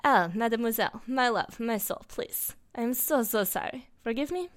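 A woman's voice: on the high side, normal speed, no room sound, studio quality, voice pushed up, close to an everyday voice. A woman reading a story and voicing a dramatic, romantic young Frenchman with theatrical, foreign-sounding phrasing. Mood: suave pleading.

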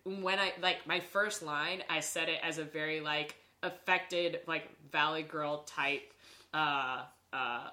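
A woman's voice: low voice, fairly even intonation, slow, bright, forceful tone. A young woman chatting casually as a podcast host, speaking informally and peppering her sentences with "like". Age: young adult. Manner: informal, frequent "like" as filler. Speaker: podcast host and improv performer. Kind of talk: casual conversational chat.

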